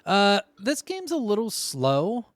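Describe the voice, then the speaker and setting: A man's voice: high-pitched, wildly swooping pitch, takes it slowly, dry recording, studio quality. A man co-hosting a casual gaming podcast, chatting bluntly and swearing freely. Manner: blunt, profane. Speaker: podcast co-host.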